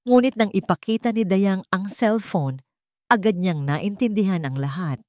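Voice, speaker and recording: A woman's voice: medium pitch, normal speed, darkish tone, no echo, clean studio sound. A woman reading a dramatic story as a scripted narration.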